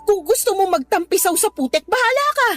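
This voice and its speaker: A woman's voice: very high voice, animated, a little fast, fairly bright tone, faint music behind. A woman acting an ambitious, scornful young girlfriend in a Filipino radio drama, with an emotional, cutting delivery. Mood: scornful, exasperated. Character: ambitious, scornful young girlfriend leaving her poor boyfriend.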